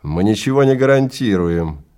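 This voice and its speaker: A man's voice: fairly low pitch, animated, normal speed, mellow, natural voice. A male actor voicing a brusque, businesslike office clerk in an audio drama, speaking emphatically. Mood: brusque, warning. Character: brusque businesslike male time-safari clerk, talkative and matter-of-fact.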